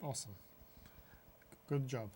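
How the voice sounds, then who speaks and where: A man hosting a public access TV interview, talking haltingly with many fillers and giving set directions. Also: medium pitch, noisy background.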